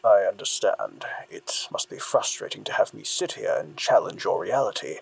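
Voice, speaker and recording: A man plays a patronising male therapist in an audio drama. His slightly husky voice swings widely in pitch as he coaxes and presses on with measured condescension. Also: expressive, no room sound, heavily acted, poor audio. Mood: patient, condescending. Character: patronising, persistent therapist challenging a patient's delusions.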